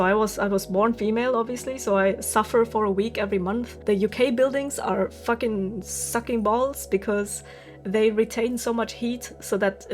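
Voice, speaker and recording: A woman's voice: fairly high pitch, darkish tone. Candid woman in her thirties. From Germany. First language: German. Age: 30s. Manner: candid, venting, swears freely, laughs often. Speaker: vlogger. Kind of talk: casual vlog monologue.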